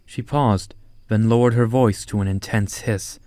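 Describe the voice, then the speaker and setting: A man with a fairly low voice narrates a scripted story as an audiobook reader. The recording is dry and close, with no room sound around him. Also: fairly even intonation, a little slow, warm tone, dry recording.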